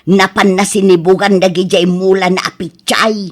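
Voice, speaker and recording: An actress playing a soft-hearted older wife in a radio drama, gently reproving, with an Ilocano accent. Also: female voice, medium pitch, flat delivery, normal speed, close to an everyday voice. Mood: kindly. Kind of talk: Filipino radio drama acting.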